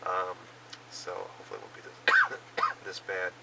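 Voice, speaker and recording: Hoarse man with a cold, very low voice, rambling recap, mic set back, noisy background. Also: completely flat delivery, normal speed, far from the mic. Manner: rambling, many fillers and restarts. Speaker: vlogger reviewing films and shows. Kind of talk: casual monthly watch recap.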